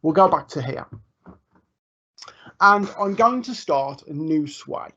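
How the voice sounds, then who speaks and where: A man giving a relaxed, informal live software tutorial to teachers, with frequent ums and restarts. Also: a little high, slow, a little hiss or hum. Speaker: education technology trainer. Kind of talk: live screen-share tutorial. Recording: muffled lo-fi online stream audio.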